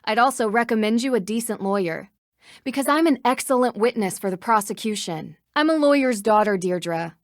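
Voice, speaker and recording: A woman's voice: a little high, lively intonation, moderate pace, no echo, clean studio sound. An actress playing a confident, polite young female sleuth in a video game, speaking in a composed, direct way. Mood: firm, teasing. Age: young adult.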